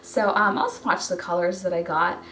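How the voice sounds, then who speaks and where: Hoarse woman reviewing makeup, roomy echo. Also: lowish voice, steady tone, moderate pace, sharp tone. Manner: chatty, with frequent ums and restarts. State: hoarse from a cold. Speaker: beauty vlogger. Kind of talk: casual product review. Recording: roomy echo, from a distance, faint noise.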